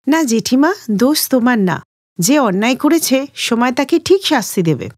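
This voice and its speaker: A woman's voice: on the high side, very animated, normal speed, darkish tone, dry recording, studio quality. A woman voicing a kind, protective young niece with a reassuring manner in a cartoon moral story.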